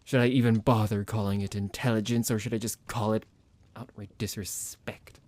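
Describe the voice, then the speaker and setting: A man's voice: fairly low pitch, flat delivery, normal speed, a little mellow, no room sound, lightly acted. A man playing a smug, psychotic vampire doctor in an ASMR roleplay, condescending, theatrical and menacing toward his captive. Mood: angry, threatening.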